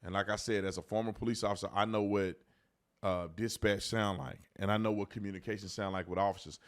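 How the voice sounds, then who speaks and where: A man's voice: on the low side, little pitch movement, fast. An American man hosting an informal, emphatic commentary, repeating phrases to drive his points home. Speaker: host commentator, former police officer.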